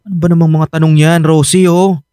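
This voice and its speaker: A man's voice: on the high side, flat delivery, fairly quick, mellow, dry recording, studio quality, lightly acted. A male actor playing a troubled, defensive unfaithful young husband in a radio drama, both narrating and in dialogue. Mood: evasive, irritated. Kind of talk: Filipino radio drama acting and first-person narration.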